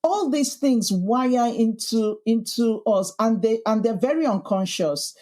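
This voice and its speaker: A woman's voice: a little high, animated, unhurried, warm tone. A woman with a Yorkshire accent, the podcast host, answering interview questions in an earnest, thoughtful way and building her points as emphatic lists. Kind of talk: reflective conversational interview.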